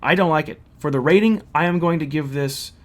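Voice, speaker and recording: A man's voice: on the high side, a little fast. A man giving a casual, opinionated review and story recap, speaking in a blunt, critical way.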